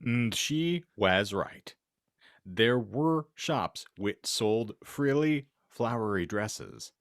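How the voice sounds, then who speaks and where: A man with a fairly low voice hosts a comedy podcast. His delivery is slow and wry but swoops expressively, as he banters and reads stories aloud in a mock-serious way. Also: expressive, no echo, studio quality. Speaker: podcast host. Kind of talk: casual banter and mock-serious reading aloud of fan fiction.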